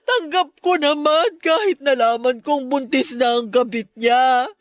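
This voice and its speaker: A woman's voice: high-pitched, unhurried, no room sound, studio quality. An actress with a Filipino accent playing a heartbroken, abandoned middle-aged wife and mother, tearful and desperate in radio drama style. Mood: desperate, crying.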